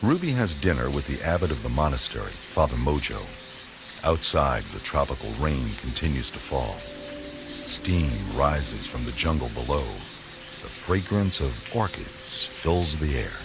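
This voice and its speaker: A man's voice: extremely low pitch, very animated, measured pace, over music, full character voice. A man narrating a radio play from a script in a portentous, atmospheric storytelling manner.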